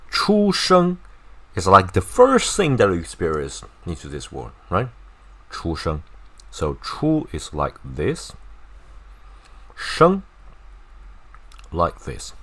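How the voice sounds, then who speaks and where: Male language teacher, native Chinese with Chinese accent. Low-pitched, unhurried, informal explanations with drawn-out, repeated example words. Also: wildly swooping pitch, fairly bright tone. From China. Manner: repeats and slowly stretches words to demonstrate pronunciation.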